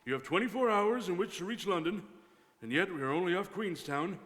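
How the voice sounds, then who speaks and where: Man acting high, blustering sea captain. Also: expressive, brisk, fairly bright tone. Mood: subdued, frank. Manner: theatrical, exclamatory. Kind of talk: stage radio-drama acting. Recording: hall reverb, community studio performance.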